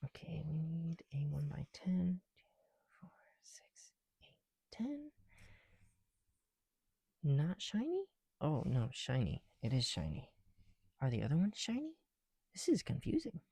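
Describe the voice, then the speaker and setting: Guy doing chatty asmr, mellow n creaky voice, super dry close sound, kinda self-deprecating. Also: a little high, huge pitch swings, a little slow, pauses often, dry recording. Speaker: ASMR video creator. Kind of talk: ASMR, casual chat while building bricks. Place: on the bedroom floor at night.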